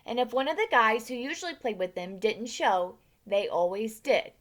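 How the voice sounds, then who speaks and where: A young woman at home teaching children new words and reading them a storybook aloud, with lively expression and soft whispered asides. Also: a little high, moderate pace. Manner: animated, drops to whispers for asides. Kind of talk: storybook read-aloud with vocabulary lesson.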